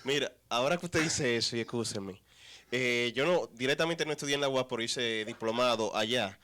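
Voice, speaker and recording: A man hosting a radio talk show, lively, chatty and teasing with his guest. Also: mid-range voice, normal speed. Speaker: host.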